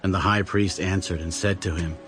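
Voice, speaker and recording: Male scripture narrator, low-pitched, scripted dramatic reading, prominent music bed. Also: steady tone, a little fast.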